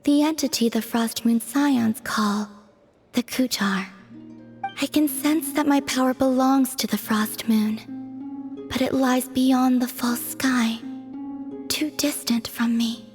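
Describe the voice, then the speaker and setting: A woman voicing an aloof, serene young moon goddess in a game cutscene, speaking softly and formally in a measured way. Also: high voice, fairly even intonation, faint music behind. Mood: serene, solemn. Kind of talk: video game cutscene voice acting.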